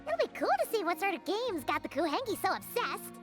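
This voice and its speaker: A woman voicing a tiny, cheeky, childlike fairy companion in an anime game, squeaky and given to exclamations. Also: big pitch swings, quick pace, over music. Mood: excited, baffled. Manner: exclamatory, refers to herself in third person. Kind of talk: anime game character dialogue.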